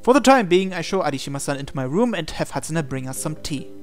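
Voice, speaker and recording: A man reading a visual novel aloud as expressive narration, in a measured, dramatic way. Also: a little high. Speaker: gaming channel host reading aloud. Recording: voice over game music. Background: game music.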